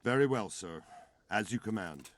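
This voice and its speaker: An actor playing a weary soldier officer who obeys grudgingly, in a game cutscene. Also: male voice, on the low side, animated, moderate pace.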